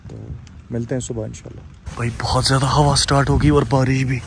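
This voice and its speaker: A man's voice: medium pitch, little pitch movement, a little fast. A young man, talking casually to camera and companions as a camping vlogger. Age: young adult. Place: outdoor campsite and inside a tent. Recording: noisy outdoor phone recording. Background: clear ambient outdoor noise.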